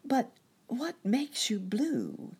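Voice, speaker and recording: A fussing muskrat lady nurse from an animal storybook, played by a woman reader. The voice is lifted high and very breathy, with lively swings. The manner is anxious, earnest and full of sympathy. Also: drawn-out words, heavily acted. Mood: concerned coaxing.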